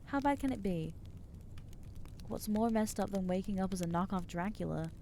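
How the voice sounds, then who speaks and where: A woman voicing an anxious, guilt-ridden new vampire in an ASMR roleplay, halting and self-doubting, with wry exasperation. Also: medium pitch, expressive, dark tone, a little hiss or hum, close to an everyday voice. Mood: bewildered, overwhelmed. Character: anxious newly turned female vampire, guilty and overwhelmed. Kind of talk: ASMR roleplay acting to the listener.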